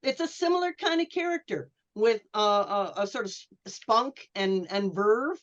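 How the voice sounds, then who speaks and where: A woman speaking as a panelist in an analytical, scholarly way, with halting phrasing. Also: mid-range voice, animated, lo-fi sound. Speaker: podcast panelist.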